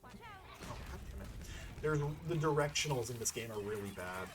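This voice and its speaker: A man hosts a video game playthrough in a middle-pitched voice. He talks casually, thinking aloud and rambling through his commentary. Faint music plays behind him. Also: wildly swooping pitch, moderate pace, a bit bright.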